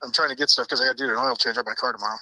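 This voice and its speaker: An easygoing man on a call to a live show. His voice is very bright, and his replies are brief and relaxed. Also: medium pitch, very fast, rough recording. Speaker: caller. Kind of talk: casual phone chat.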